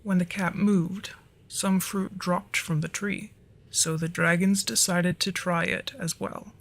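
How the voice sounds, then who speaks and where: Flirty, easily flustered dragon for ASMR roleplay acted by a performer of unclear sex; soft, breathy, mid-pitched with a crackly edge. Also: level tone, slow. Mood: gentle, storytelling. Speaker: storyteller in an audio roleplay.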